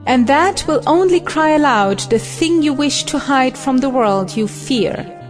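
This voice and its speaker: Actress as a slow-speaking, defiant young woman. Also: high voice, lively intonation, soft background music. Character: defiant, idealistic, independent young woman in love. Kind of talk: audio play acting of an old stage drama.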